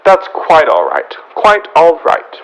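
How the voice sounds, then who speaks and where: A man's voice: fairly high pitch, huge pitch swings, takes it slowly, a bit bright, full character voice, low-quality recording. A young man playing a fussy, harried department store floorwalker in a radio comedy, prim and pleading, repeating his phrases. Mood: apologetic. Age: young adult. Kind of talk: old-time radio comedy acting.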